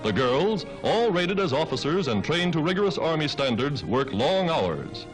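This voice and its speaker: A man's voice: on the high side, big pitch swings, moderate pace, soft background music, rough recording. An American man narrating a wartime newsreel in a dramatic, declamatory 1940s style. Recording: vintage 1940s newsreel soundtrack.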